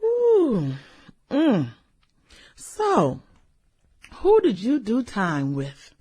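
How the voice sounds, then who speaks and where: Brash, animated, flirty businesswoman played by a woman. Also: a little high, breathy edge, exaggerated voice. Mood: delighted, flirty. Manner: sassy, slangy, drawn-out interjections. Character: brash, flirty, fun-loving high-powered businesswoman in her late thirties. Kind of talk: satirical radio drama acting.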